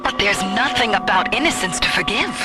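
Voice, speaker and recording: Casting: actress as courageous station commander, urgent and earnest, widely swinging intonation, loud music bed. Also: female voice, medium pitch, lively intonation, fairly quick, natural voice. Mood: defiant pleading. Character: brave, defiant female space station commander. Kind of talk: radio drama acting. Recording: loud dramatic music behind.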